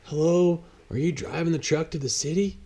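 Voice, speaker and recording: A man's voice: a little high, fairly even intonation, normal speed, mellow, pitched above the performer's own voice. Man reading as hearty, declaiming airline captain. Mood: announcing. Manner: declamatory, announcing.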